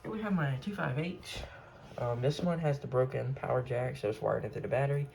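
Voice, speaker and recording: A man giving a casual, unscripted show-and-tell as a hobbyist collector, rambling and halting as he corrects himself. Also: mid-range voice, creaky, very noisy.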